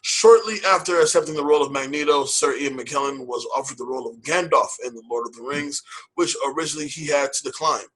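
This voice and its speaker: Bright, husky-voiced man co-hosting a podcast. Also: on the high side, fast talker, low-quality recording. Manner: loose, hype-style delivery with slang and repeated phrases. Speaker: podcast co-host. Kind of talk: casual movie-review chat.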